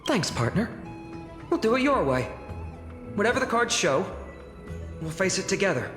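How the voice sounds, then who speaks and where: An actress playing an earnest, humble young village sword trainee in a video game. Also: female voice, medium pitch, big pitch swings, moderate pace, fairly bright tone. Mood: hopeful. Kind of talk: scripted game voice acting. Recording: echoing hall reverb, faint music.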